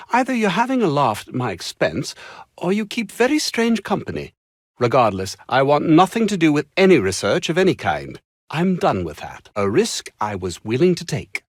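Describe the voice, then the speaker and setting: A downtrodden video game scientist with a fairly high, husky voice. His pitch swings very widely as he delivers formal, wordy lines in a haughty yet anxious, self-pitying manner. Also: no room sound, clean studio sound, full character voice. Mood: guarded. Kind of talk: video game character dialogue.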